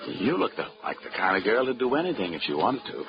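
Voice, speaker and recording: An actor playing a wisecracking, hard-boiled insurance investigator who narrates in the first person, in a dry, wry manner. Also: male voice, fairly low pitch, very animated, fast talker, noisy background. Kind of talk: 1940s radio acting with first-person narration. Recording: muffled 1940s radio broadcast with loud music.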